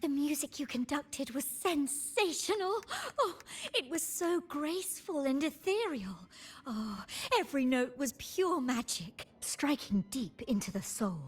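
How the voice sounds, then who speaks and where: A starstruck female fan in a fantasy game. Her high voice gushes with effusive, breathless admiration. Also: lively intonation, measured pace. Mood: awed, delighted. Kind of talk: expressive video game voice acting.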